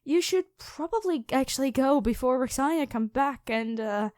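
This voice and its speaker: A woman voicing an imperious, teasing female dragon queen in an ASMR roleplay, speaking to the listener with drawn-out, commanding delivery. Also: sits high, expressive, darkish tone, no room sound, clean studio sound. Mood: nervous, over-bright.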